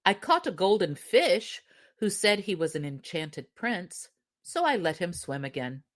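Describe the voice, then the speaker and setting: A woman's voice: on the low side, big pitch swings, a little slow. A woman voicing a simple, easygoing fisherman husband in a storybook, plain-spoken and reluctant.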